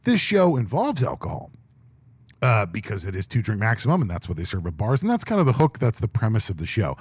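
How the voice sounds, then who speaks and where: Deep-voiced chatty man, lively delivery. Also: brisk, slightly rough, no room sound. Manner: talkative, animated. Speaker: podcast co-host. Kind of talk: casual chat.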